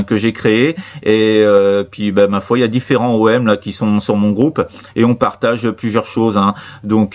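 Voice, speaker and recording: A man chatting casually as a CB radio operator, halting and repetitive, with many short verbal tags. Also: fairly low pitch. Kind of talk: casual radio contact chat.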